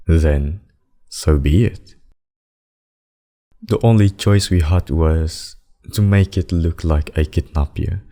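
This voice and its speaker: Male actor for demon king lover: very deep, unhurried, tender and protective, formal endearments, intimate ASMR delivery. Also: mellow, full character voice. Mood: resolute, calm. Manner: slow, formal, doting speech with endearments. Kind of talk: intimate ASMR roleplay acting.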